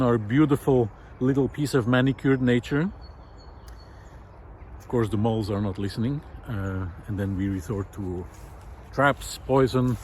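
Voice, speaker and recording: A man with a medium-pitched voice talks to camera outdoors with clear ambient noise behind him. He explains his ideas reflectively, searching for words and often stopping mid-sentence. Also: darkish tone. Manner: hesitant, thoughtful, pausing mid-sentence. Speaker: presenter of a nature and philosophy video series. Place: outdoors in a park.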